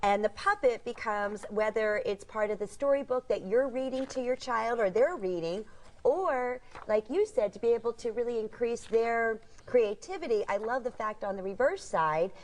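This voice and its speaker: A woman's voice: a little high, animated, moderate pace. Chatty woman co-hosting a shopping show. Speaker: shopping channel co-host. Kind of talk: live TV product presentation, chatty.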